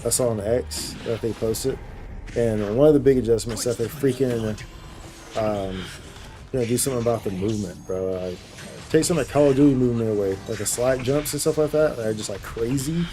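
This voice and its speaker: Casual male game streamer, mellow tone, slangy and repetitive. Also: mid-range voice, a little fast. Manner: slangy, bro-heavy, repeats phrases for emphasis. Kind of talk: casual livestream chat while gaming.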